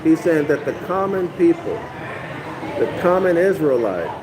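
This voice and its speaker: A man's voice: fairly high pitch, sing-song, moderate pace, crowd noise, poor audio. A man from North America reading a text aloud and lecturing on it for a live online audience, often stopping to check in with listeners and repeating phrases as he explains. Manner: keeps checking in with listeners, "okay?" and "y'all".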